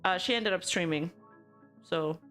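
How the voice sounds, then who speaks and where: A woman's voice: on the low side, measured pace, a bit bright, faint music behind. Chatty young Canadian woman streamer. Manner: chatty, laughs often, answers chat with playful denial. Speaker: VTuber commentary streamer. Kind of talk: casual live-stream chat reacting to news.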